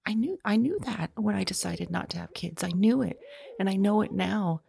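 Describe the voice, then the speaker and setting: A woman playing a frightened, anguished woman awaiting arrest in an audio drama, speaking haltingly and often dropping into breathy whispers. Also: mid-range voice, warm tone. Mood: hushed despair.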